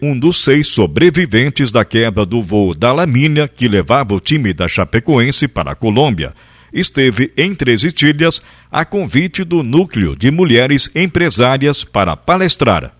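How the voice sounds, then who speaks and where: A man hosting a radio interview, reading a formal introduction before talking with his guest. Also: medium pitch. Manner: reads the intro formally, then short backchannels.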